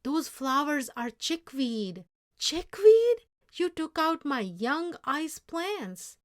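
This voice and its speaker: A woman voicing a storybook boss, a man who is emphatic, easily shocked and quick-tempered but fair. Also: sits high, big pitch swings, pitched above the performer's own voice, heavily acted. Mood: shocked, angry. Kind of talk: storybook character dialogue.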